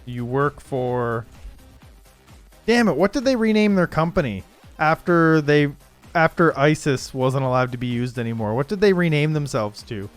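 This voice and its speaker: A man hosting a casual game livestream, thinking aloud haltingly and improvising story prompts as he goes. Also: on the high side, expressive, faint music behind. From Canada. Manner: halting, thinking aloud, frequent throat clearing. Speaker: livestream host.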